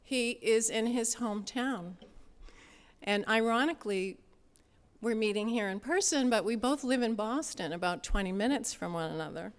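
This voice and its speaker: A woman giving a prepared introduction of a speaker at a conference, in a measured, formal way. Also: fairly high pitch. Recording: reverberant hall sound.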